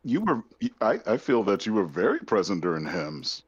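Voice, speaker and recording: A man's voice: fairly low pitch, wildly swooping pitch, moderate pace. A man chatting casually and gushing with gratitude, calling in on a phone. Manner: gushing, grateful. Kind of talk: casual chat. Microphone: phone on an internet voice call. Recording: dull, lo-fi phone call audio.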